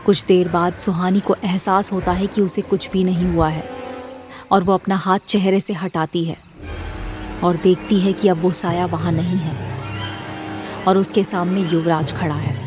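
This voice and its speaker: A woman narrating a scripted horror story in a measured, dramatic storytelling manner. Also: on the low side, little pitch movement, over music.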